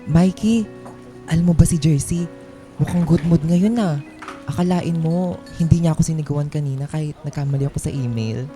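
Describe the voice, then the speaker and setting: A man's voice: a little high, a little fast, warm tone, natural voice. A Filipino man playing a stressed, plaintive young office worker confiding in a friend in a radio drama. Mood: relieved. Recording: music bed under dialogue.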